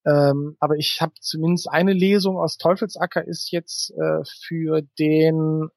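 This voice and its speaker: A man's voice: on the high side, little pitch movement, measured pace, gravelly, a little mellow, no room sound, studio quality. A man giving conversational answers as an author being interviewed.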